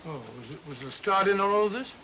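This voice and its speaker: Blustery loyal sidekick, high bright voice, puzzled, exclamatory, vintage film soundtrack. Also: male voice, lively intonation, brisk, a little hiss or hum. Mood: puzzled, admiring. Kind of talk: 1940s film mystery acting.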